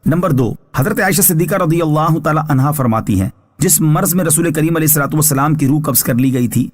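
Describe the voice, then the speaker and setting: A male religious scholar with a somewhat high voice for a man. He reads scripture commentary from a prepared text. His delivery is formal, measured and instructive. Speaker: Islamic scholar giving Quran translation and commentary.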